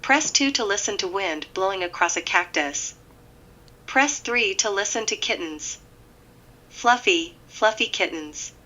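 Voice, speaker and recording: A woman voicing an eerie automated phone menu, reciting absurd options in a measured deadpan. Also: mid-range voice, lo-fi sound. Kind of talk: automated phone system announcement.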